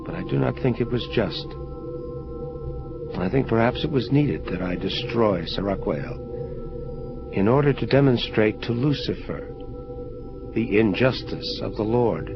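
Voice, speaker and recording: A man playing a solemn, troubled angel of vengeance in an audio drama, speaking in formal, measured phrases. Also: lowish voice, expressive, creaky. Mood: accusing. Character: grave, troubled male angel of vengeance confronting his creator. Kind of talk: dramatic audio play dialogue. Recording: dull lo-fi audio under music. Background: prominent atmospheric music.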